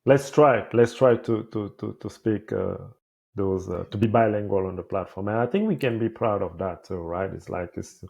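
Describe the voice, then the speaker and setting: A man from Cameroon whose first language is French, hosting a podcast in English and speaking haltingly, with frequent restarts and repeated words. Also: lowish voice, gravelly, a little mellow, husky. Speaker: podcast host. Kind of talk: casual conversation. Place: Montreal.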